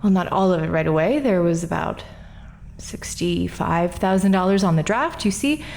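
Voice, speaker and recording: Actress as a defensive witness, brash, flippant, lively pitch, audio drama. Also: female voice, normal speed, vocal fry, a little hiss or hum. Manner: defensive, flippant, laughs things off. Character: brash, self-justifying woman on the stand. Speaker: witness Ross at an inquest.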